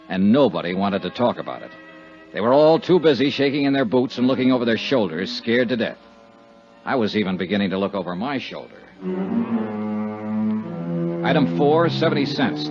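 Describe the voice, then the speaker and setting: A man's voice: mid-range voice, close to an everyday voice. A man playing a tough, wry insurance investigator in a 1950s radio drama, speaking and narrating in a hard-boiled manner. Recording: muffled 1950s radio recording with music.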